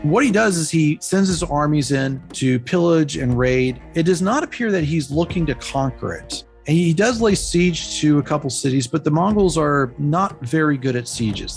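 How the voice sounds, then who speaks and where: A man's voice: a little high, moderate pace, few pauses, faint music behind. A man speaking as a history expert, explaining events in an informal, plain-spoken storytelling way. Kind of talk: conversational explanation.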